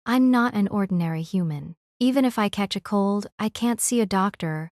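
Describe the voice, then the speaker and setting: A gentle noble lady, played by a woman in an audio drama. She is polite and composed, at a middle pitch, with a very dry, close sound. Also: normal speed, no room sound, natural voice. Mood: reassuring.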